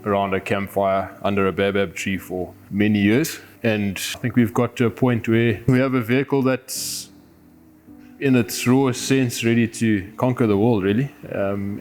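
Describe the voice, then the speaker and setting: A Zimbabwean man speaks in a low register that stays very even from phrase to phrase. His delivery is earnest and conversational, with a philosophical, reflective bent, like an adventurer being interviewed for a documentary. Soft music sits behind his voice.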